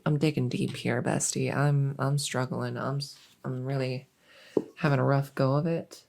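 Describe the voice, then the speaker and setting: A woman's voice: low voice, warm tone. A woman voicing a silly, awkward monster who lives under a bed, rambling and stammering earnestly in a comedic ASMR roleplay. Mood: struggling, flustered. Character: silly, awkward female monster living under a bed, bungling her job of scaring. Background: writing sounds.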